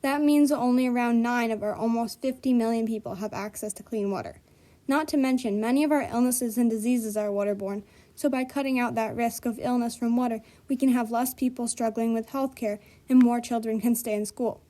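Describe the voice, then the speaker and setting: A woman's voice: on the high side, fairly even intonation, brisk, dark tone. A woman reading a scripted school report aloud with a careful, earnest delivery, speaking in the first person as a character. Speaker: student presenter.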